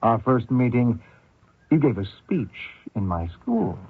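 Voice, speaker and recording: An actor playing a vengeful Canadian man hunting a fugitive, deliberate and taunting in an old radio drama. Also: mid-range voice, very animated, breathy edge, heavily acted. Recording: muffled thin old radio recording.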